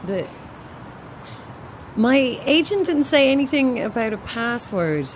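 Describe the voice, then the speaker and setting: A woman's voice: on the high side, very animated, slow pace, slight background noise. A woman playing a flustered, self-dramatizing struggling actress who rambles theatrically to herself in an audio drama. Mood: polite, flustered. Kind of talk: modern audio drama acting.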